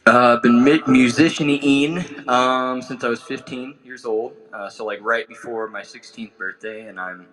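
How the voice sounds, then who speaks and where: A man's voice: medium pitch, fairly even intonation, a little slow, few pauses, fairly bright tone, big-room sound, low-quality recording. An American man in his thirties from Nebraska, a performing songwriter chatting casually between songs, easygoing, hesitant and joking. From Nebraska, United States. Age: 30s. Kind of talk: casual between-song chat. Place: small container home live session.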